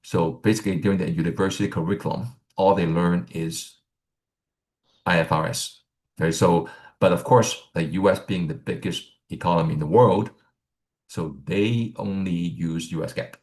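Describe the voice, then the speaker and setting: A middle-aged man from Hong Kong explains career options like a relaxed instructor, with a very low, gravelly voice. He rambles and keeps checking in with "right?" as he goes. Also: normal speed. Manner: rambling, many fillers and "right?" tags. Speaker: accounting exam instructor. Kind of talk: casual teaching talk.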